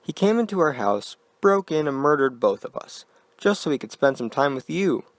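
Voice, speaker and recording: A man voicing an eerie mother in an unnatural falsetto, recorded close and dry. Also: sing-song, normal speed, dry recording.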